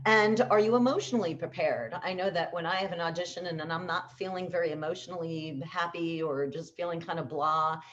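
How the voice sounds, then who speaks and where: A woman with a slightly low voice gives practical tips like a coach. She speaks conversationally but emphatically, repeating key words and posing questions to the listener. The recording sounds a little dull and lo-fi. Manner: conversational, asks rhetorical questions. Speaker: voice-over coach. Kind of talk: instructional talk with tips.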